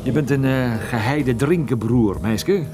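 An actor playing a shrewd, dryly teasing private detective in a Dutch radio drama. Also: male voice, medium pitch, a little slow, a loud crowd, close to an everyday voice. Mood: scheming.

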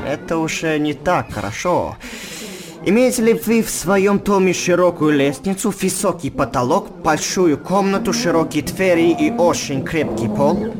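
An actor playing a fussy, businesslike foreign circus man in a radio play, deliberate and hemming, in broken Russian. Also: a little high. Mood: weighing it up. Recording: music under the voice.

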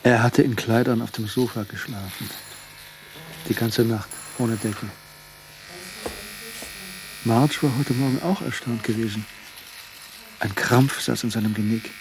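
A man's voice: medium pitch, fairly even intonation, a little slow, pauses often, background noise, close to an everyday voice. A man acting as the anxious narrator of a suspect's thoughts in a crime radio play. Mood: tense, observing.

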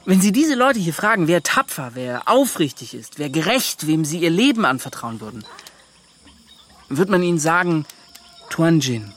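An actor playing a proud yet self-doubting young adventurer in a German radio play, with a halting, emphatic delivery. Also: male voice, on the high side, sing-song, a bit bright. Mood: confiding, earnest. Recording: music behind the voice.

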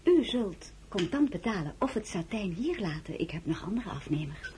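An actress playing a shrewd, spirited merchant's daughter with a firm, polite shop manner in a radio drama. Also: female voice, medium pitch, big pitch swings, noisy background, close to an everyday voice. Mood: firm, cool. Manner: brisk, firm, polite saleswoman.

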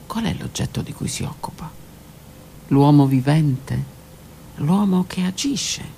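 A middle-aged actress delivers a stage monologue, dropping into hushed whispers and rising into loud, declaimed passages. Her voice carries a gravelly edge, with a faint hiss in the background. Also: low-pitched, lively intonation, measured pace. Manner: dramatic, swings from hushed whispers to raised declaiming. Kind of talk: theatrical monologue. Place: radio studio.

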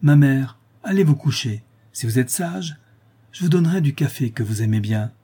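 A man's voice: mid-range voice, animated, normal speed, dark tone, slightly rough, pitched above the performer's own voice. A man voicing a feverish, complaining wife in a storybook, with a plaintive, impatient manner. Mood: impatient, doleful.

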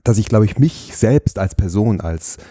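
A man's voice: fairly low pitch, animated, unhurried, dark tone, no echo, studio quality. A man from northern Germany, a guest illustrator and painter, answering reflectively in long winding sentences and searching for words. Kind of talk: casual interview. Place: studio.

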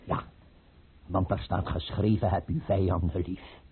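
An actor playing a tormented, paranoid Russian tsar in a theatrical radio play, agitated, imperious and repeating himself. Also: male voice, low voice, steady tone, unhurried, background noise. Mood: brooding. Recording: muffled, narrowband old radio play recording.

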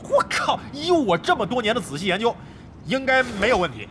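A man's voice: extremely high pitch, very animated, fairly quick, background noise. An actor playing a brash, crafty middle-aged veteran gamer in a Chinese audio drama, cocky and slangy, grumbling and swearing as he banters. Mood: exasperated.

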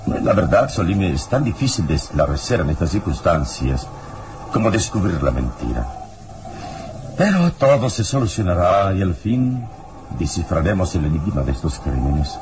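A man playing a calm, wise, mysterious hero investigator in an old radio serial, speaking deliberately and with solemn suspense. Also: sits low. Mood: calm, enigmatic. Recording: noisy old radio drama recording.

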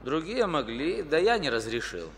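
An actor in a Russian radio play playing a wry, good-humoured fishing boat captain who teases with mock politeness. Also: male voice, on the high side, very animated, normal speed, fairly bright tone. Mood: amused, teasing. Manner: ironic, amused, teasing. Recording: old radio play, long reverb, faint noise.

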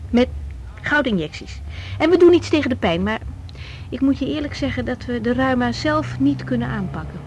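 A woman's voice: sits high, lively intonation, moderate pace, a little mellow, slight background noise. An actress playing a young, overworked woman doctor in a radio drama, speaking candidly, colloquially and with emotion. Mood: earnest, explaining. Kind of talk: 1980s Dutch radio drama acting.

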